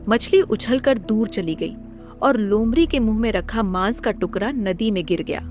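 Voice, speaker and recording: A woman narrating a scripted moral story as a storybook narrator. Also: medium pitch.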